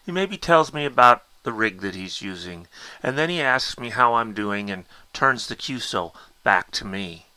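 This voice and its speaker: A man's voice: fairly low pitch, lively intonation, moderate pace, pushed and bright, clean tone, dry recording. A man narrating a step-by-step technical tutorial, explaining things directly to the learner as an instructor. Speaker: instructor demonstrating a Morse code keyer.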